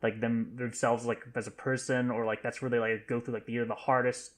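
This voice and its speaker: A man's voice: medium pitch, little pitch movement, fairly quick. A man in a casual panel chat, speaking hesitantly and searching for his words. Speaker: podcast panelist.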